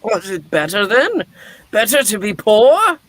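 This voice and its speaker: Man acting a melodramatic old miser, high-pitched, slow, defensive, stage-play reading. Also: very animated, fairly bright tone, exaggerated voice. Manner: melodramatic, exclamatory. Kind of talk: theatrical amateur play reading.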